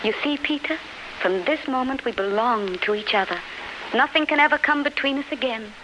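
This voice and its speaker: Actress as tender high-voiced woman in love. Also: moderate pace, noisy background, natural voice. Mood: fervent devotion. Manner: soothing, earnest, theatrical. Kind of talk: 1930s radio drama acting. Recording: 1930s radio broadcast with music.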